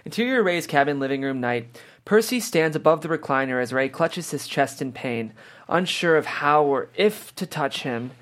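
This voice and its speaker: A man's voice: a little high, animated, moderate pace, fairly bright tone. Man narrating screenplay stage directions.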